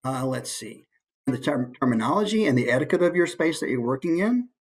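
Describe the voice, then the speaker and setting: A man speaking as a voice actor giving an informal instructional presentation, animated and demonstrating points with his voice. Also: on the high side, fairly quick.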